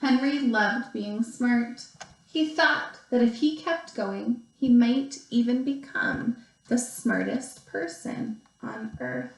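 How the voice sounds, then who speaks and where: A woman teacher reading a picture book aloud to young children, expressively, with deliberate pauses and stretched emphasis. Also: a little high, vocal fry, slight background noise. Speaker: second grade teacher. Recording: roomy, echoey sound.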